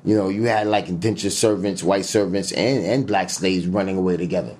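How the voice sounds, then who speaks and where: A man's voice: sits low, fairly even intonation, fairly quick, darkish tone, slight background noise. A man talking in an interview as a guest, emphatic and profane, full of fillers and slang. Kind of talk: casual interview talk.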